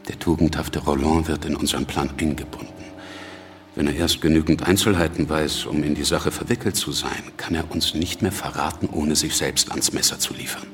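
An actor playing a cunning, ruthless, self-assured revolutionary leader in a radio drama, gravelly and deliberate in delivery. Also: male voice, rumbling low, fairly even intonation. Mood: scheming.

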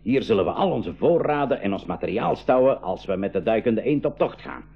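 A man's voice: lowish voice, animated, a little fast, gravelly, faint music behind. A man playing an eccentric, kindly inventor uncle in an educational radio drama, with a Flemish accent and a theatrical, teasing way of explaining. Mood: enthusiastic. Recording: dull 1980s radio drama recording.

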